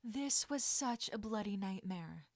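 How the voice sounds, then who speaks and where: A woman reading a story aloud as an expressive audiobook narrator. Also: mid-range voice, a little slow, dry recording, clean studio sound. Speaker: audiobook narrator of fan fiction.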